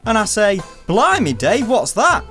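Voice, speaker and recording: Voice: medium pitch, huge pitch swings, measured pace, fairly bright tone, faint music behind. A performer playing a cheeky working-class English storyteller, chatty and colloquial, acting out neighbours' exchanges in a radio play. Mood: amused, incredulous. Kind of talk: scripted storytelling monologue in a stage-style radio play.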